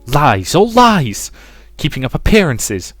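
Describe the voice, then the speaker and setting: A man's voice: lowish voice, big pitch swings, a little slow, a bit bright. A man voicing a vengeful, hysterical dead mother who rants harshly in a dramatic story reading, with music behind him. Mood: screaming, accusing. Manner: harsh, accusing, ranting.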